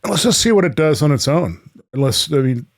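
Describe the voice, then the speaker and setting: A man's voice: on the high side, very animated, fairly quick. A man hosting a show with casual chat and reading aloud, in a playful, goofy manner. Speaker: show host and creator.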